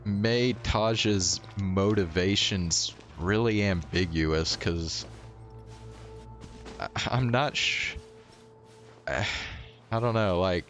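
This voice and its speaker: A young man giving casual live game commentary, halting and slangy as he thinks aloud. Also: deep voice, fairly bright tone. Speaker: game streamer. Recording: faint music behind.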